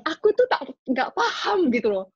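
A young woman, a guest in a casual podcast chat, telling her stories in an animated, complaining way. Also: high-pitched, moderate pace, no room sound. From Indonesia. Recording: dull dry recording.

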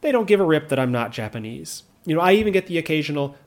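A man's voice: on the high side, expressive. Earnest man, reflective monologue. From United States. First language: English. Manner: earnest, measured, with frequent restarts and asides. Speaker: vlogger living abroad.

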